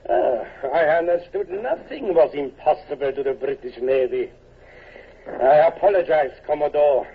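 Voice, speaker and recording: An actor playing a stiff, formal Prussian colonel with a husky voice, lecturing precisely in 1940s radio style. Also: male voice, a little high, lively intonation, normal speed, slight background noise, close to an everyday voice. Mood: measured. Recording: tinny lo-fi old radio recording.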